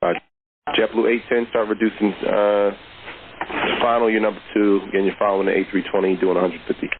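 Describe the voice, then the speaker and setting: Over a tinny, faintly hissing radio, a man speaks very quickly in a lowish voice. He is an air traffic controller issuing clipped, procedural instructions. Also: flat delivery. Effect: radio transmission. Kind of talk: rapid radio instructions in clipped aviation phraseology.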